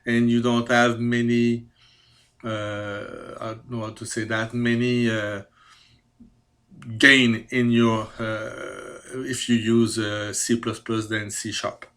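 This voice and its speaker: A man in his fifties from Quebec, a native French speaker with a Quebec French accent, presenting his skills with careful, hesitant speech and many pauses. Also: medium pitch, level tone, fairly bright tone, clear, resonant tone. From Quebec, Canada. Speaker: CAD programmer. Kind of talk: self-presentation video.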